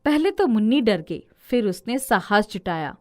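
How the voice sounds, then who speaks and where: Casting: female storyteller for children's tales, high voice with lively, varied intonation. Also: moderate pace. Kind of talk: scripted children's story narration.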